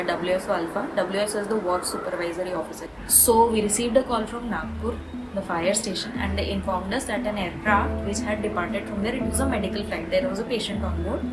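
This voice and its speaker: A woman's voice: medium pitch, fairly quick, rough recording. A woman with an Indian accent recalling her work as an air traffic controller in an informal, conversational interview. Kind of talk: interview recollection. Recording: loud music behind voice. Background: loud background music.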